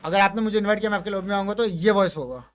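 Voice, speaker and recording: A man with an Indian accent and a high-pitched voice presents gaming content in a casual way. His talk is informal and slangy, switching between Hindi and English. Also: very fast. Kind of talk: casual commentary with demonstrations.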